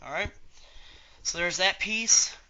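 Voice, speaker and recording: A man giving an informal step-by-step software tutorial as an instructor, talking through each click aloud. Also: sits high, slow pace, poor audio. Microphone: voice-over on a screen recording.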